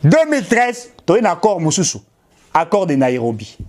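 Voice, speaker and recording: Impassioned Congolese man, high lively voice. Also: moderate pace, a little hiss or hum. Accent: Congolese French. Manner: emphatic and insistent, with repetition and appeals to the audience. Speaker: political commentator. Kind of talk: impassioned direct-to-camera address.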